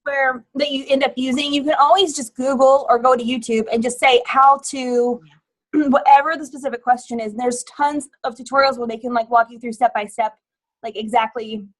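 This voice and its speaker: High-voiced woman chatting and joking. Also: fairly even intonation, a little fast, low-quality recording. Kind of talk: casual online meeting chat. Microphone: video call.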